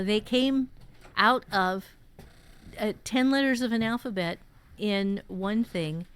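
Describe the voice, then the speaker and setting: A woman's voice: medium pitch, smooth, no room sound. A middle-aged or older woman, an author and teacher, chatting casually and reflectively as a podcast co-host.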